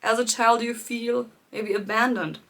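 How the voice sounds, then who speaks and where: A woman with a slightly high voice guides her viewers through a live coaching session. She talks gently and reflectively, speaking straight to her audience and laughing softly between her points. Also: steady tone, measured pace, creaky. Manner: addresses viewers directly, greets them by name. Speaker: life coach hosting a live challenge. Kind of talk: live-streamed coaching talk.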